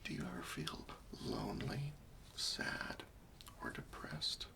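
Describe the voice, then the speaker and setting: A man playing a gentle, patient, faintly wry professional cleanup worker in a softly whispered, hesitant ASMR roleplay. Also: lowish voice, very animated, slow pace, creaky, pitched below the performer's own voice, full character voice. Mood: calm, soothing. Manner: soft, hesitant, soothing, politely tactful.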